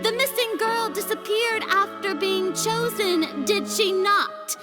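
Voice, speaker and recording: A woman's voice: extremely high pitch, slow pace. A woman playing a grandiose, self-assured young deity in a game cutscene, with a haughty, declamatory delivery. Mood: accusing. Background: music score.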